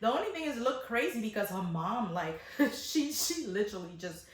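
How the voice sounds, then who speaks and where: A woman telling a casual, animated story to viewers, retelling events dramatically as a hairstylist. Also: on the high side, brisk, roomy sound. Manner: dramatic retelling, acting out dialogue.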